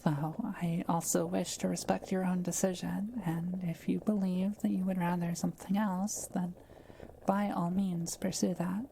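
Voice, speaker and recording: A woman playing a kind, soft-spoken forest witch in an intimate audio roleplay, talking to the listener in gentle, formal, old-fashioned wording. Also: lowish voice, little pitch movement, moderate pace, creaky, slight background noise, low-quality recording. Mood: gentle, earnest.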